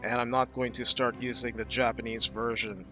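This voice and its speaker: Bright-voiced man explaining game strategy. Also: mid-range voice, level tone, normal speed, soft background music. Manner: thoughtful, explanatory, with frequent fillers. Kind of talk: casual gameplay commentary.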